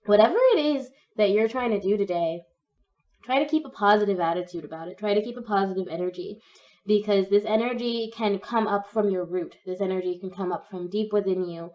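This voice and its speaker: A young woman with a cold and a slightly croaky voice, gently talking through a pick-a-card tarot reading. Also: medium pitch, a little fast, far from the mic, dull audio. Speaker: tarot reader.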